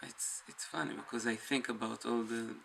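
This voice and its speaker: A man with a very creaky voice talks casually, thinking aloud with many halts. His delivery is understated, and the recording is noisy, with him some way from the microphone. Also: mid-range voice, steady tone, normal speed. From Israel. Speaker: documentary interviewee. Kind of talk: casual personal account. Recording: noisy ambience, distant microphone.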